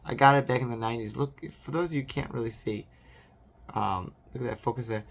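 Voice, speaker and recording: A man in his forties, hoarse from a recent cold, rambling casually on his vlog with lots of fillers and restarts. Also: medium pitch, fairly bright tone. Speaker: vlogger. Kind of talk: casual unboxing chat.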